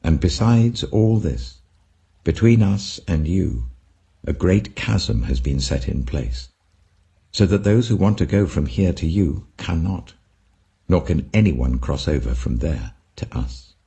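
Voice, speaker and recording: A man voicing a stern, grave old patriarch in a scripture parable, unhurried and fatherly. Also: sits low, expressive, gravelly, mellow, heavily acted. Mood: grave, firm.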